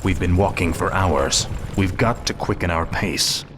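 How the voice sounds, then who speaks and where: A man's voice: low-pitched, a little slow, background noise. An actor playing an arrogant, ruthless male death knight who speaks with impatient sarcasm. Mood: cold. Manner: impatient, sardonic. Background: music.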